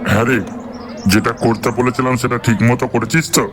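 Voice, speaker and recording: A man's voice: mid-range voice, steady tone, fast, noisy background, natural voice. A man voicing a foul-mouthed, bossy, scheming club boss in a comedy cartoon. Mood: bossy, impatient. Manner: swearing, bossy, scornful. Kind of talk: Bengali comedy cartoon voice acting. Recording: music behind the voice.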